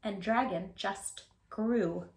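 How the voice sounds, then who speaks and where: A woman hosting a children's read-aloud, speaking in an animated, emphatic way straight to small children. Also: mid-range voice, slow pace. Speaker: children's storytime host and tutor. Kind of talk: children's read-aloud and chat to young viewers.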